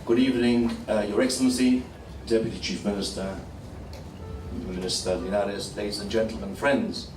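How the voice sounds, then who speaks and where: A man with a head cold and a nasal voice giving a formal, measured prepared address at a public event. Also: lowish voice, steady tone, echoey room, recorded from a distance, music in the background, rough recording. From Gibraltar. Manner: slow, measured, declamatory delivery. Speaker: speaker at a community event.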